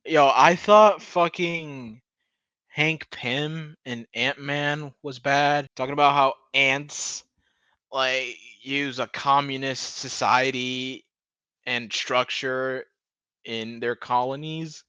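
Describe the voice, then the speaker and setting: Guy doing a sweary casual rant recap, punchy bright voice, dry but kinda dull recording. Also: mid-range voice, steady tone, slow, smooth, no room sound. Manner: sweary, laughs through his words; mockingly acts out quoted dialogue. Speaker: video commentator. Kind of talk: casual ranting TV episode recap.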